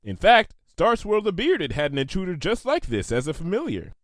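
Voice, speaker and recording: A studious unicorn mare of science, acted by a man, confident and clinical as if dictating notes. The storybook voice is raised in pitch, with animated swings and a dry sound. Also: normal speed, no echo. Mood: reassuring. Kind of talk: fan fiction storybook character voice.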